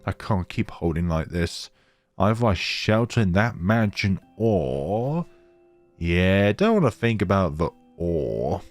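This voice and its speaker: A man's voice: sits low, very animated, takes it slowly, soft background music. A man hosting a gaming let's play, reading story text aloud dramatically and dropping in casual asides. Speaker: gaming channel host.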